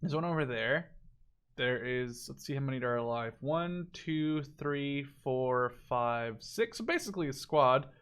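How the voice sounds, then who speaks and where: A man's voice: medium pitch, animated, unhurried, a bit bright, clear, resonant tone. A man giving casual live commentary on a video game match, thinking aloud as he watches.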